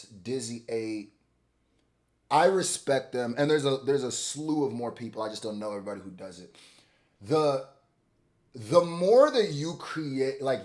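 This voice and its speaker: A young man, a rapper, talking casually as he reacts to a song, repeating words as he restarts and now and then bursting out loud. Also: medium pitch. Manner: loose and chatty, repeats words when restarting, sudden loud outbursts.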